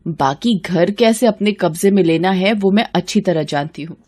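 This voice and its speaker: A woman voicing a scheming, haughty young daughter-in-law in an animated moral story, taunting and commanding. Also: fairly low pitch, moderate pace, close to an everyday voice. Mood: sly, sweet-talking.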